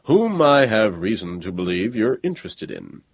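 A man's voice: fairly low pitch, very animated, normal speed, slightly rough, no room sound, full character voice. A man voicing a tall, middle-aged male robot in an audiobook, with a dry, hollow voice and smug, formal politeness. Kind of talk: audiobook character voice.

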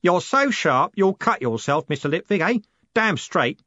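A man's voice: high-pitched, sing-song, unhurried, bright, forceful tone, no echo, voice pushed up, exaggerated voice. Man doing a cheery, boastful coachman. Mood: eager. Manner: eager, boastful. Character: cheery bold male coachman, showman. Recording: dull, dry recording.

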